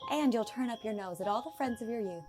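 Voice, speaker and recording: A woman playing a lively young best friend in an audiobook, fond and teasing in manner. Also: fairly high pitch, fast talker, over music, close to an everyday voice. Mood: playful, teasing. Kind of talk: audiobook character acting.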